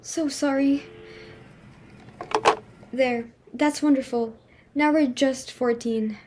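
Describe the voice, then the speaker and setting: Woman playing a high-voiced, imperious society hostess mother. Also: fairly even intonation, slow, noisy background. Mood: affectedly sympathetic. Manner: imperious, scolding, indignant. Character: haughty, easily flustered society hostess mother. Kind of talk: dramatic reading of a stage comedy.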